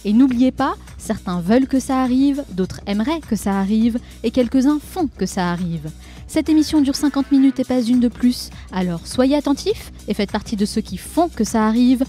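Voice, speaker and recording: A woman's voice: fairly high pitch, lively intonation, moderate pace, mellow, soft background music. A woman hosting a podcast, reading an upbeat motivational intro and then interviewing her guest on a close mic. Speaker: podcast host.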